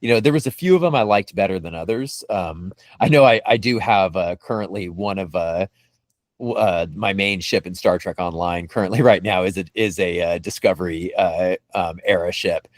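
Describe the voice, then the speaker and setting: Lower-voiced man, enthusiastic podcast co-host. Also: normal speed, no echo, clean studio sound. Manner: enthusiastic, rambling, repeats words as he goes. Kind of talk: casual fan discussion.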